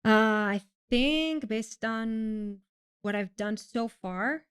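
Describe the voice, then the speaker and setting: Woman, from Greece, podcast guest, smooth voice, casual, chatty. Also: a little high, extremely slow. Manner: chatty, laughs often, many ums. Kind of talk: casual interview chat.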